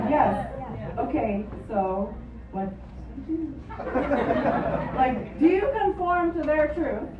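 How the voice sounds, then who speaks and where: A woman whose first language is Japanese, doing a stand-up comedy set on a club stage with wry, deadpan storytelling, caught on a phone voice memo from the audience. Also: a little high, heavy background noise. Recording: lo-fi phone recording from far off in an echoey club. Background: audience laughter.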